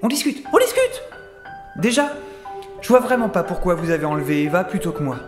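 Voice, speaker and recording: A young captive scientist, acted by a man, mouthy and sarcastic, protests in casual, indignant phrases. His voice is high for a man and plays over clear music in an audio drama. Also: sing-song, a little fast. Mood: agitated, protesting. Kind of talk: scripted audio drama acting.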